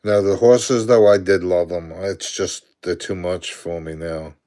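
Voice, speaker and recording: A man's voice: sits low, steady tone, a little slow, mellow. A man in his sixties from Brooklyn, New York, with a Brooklyn accent, chatting casually on a live stream, answering viewer comments aloud and chuckling often.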